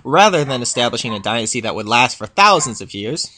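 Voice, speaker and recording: A man's voice: medium pitch, very animated, fast, pushed and bright, slight background noise. A man voicing a haughty, exasperated royal pony princess in a dramatic fan fiction reading, with pompous, scathing, formal diction. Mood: withering, disappointed.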